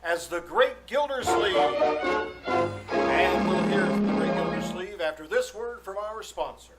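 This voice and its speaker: A man's voice: sits high, expressive, slow pace, poor audio. A man announcing a scripted radio show in 1940s style. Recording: live stage show with loud music behind. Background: loud music.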